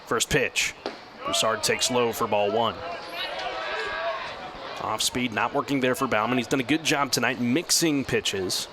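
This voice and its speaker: A man doing live radio baseball play-by-play in measured, descriptive, clipped phrases. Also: mid-range voice. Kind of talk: live radio sports commentary. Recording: clear steady outdoor ballpark noise.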